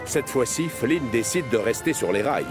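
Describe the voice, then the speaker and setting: A man's voice: medium pitch, animated, normal speed. A man narrating a scripted children's story as the storyteller of a cartoon. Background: music.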